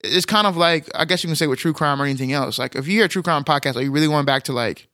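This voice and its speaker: A man from Texas co-hosting a podcast in casual chat, rambling and joking, his voice a little deeper than usual in the morning. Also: racing, pushed and bright, dry recording, studio quality. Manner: laid-back, rambling, joking. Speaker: podcast co-host.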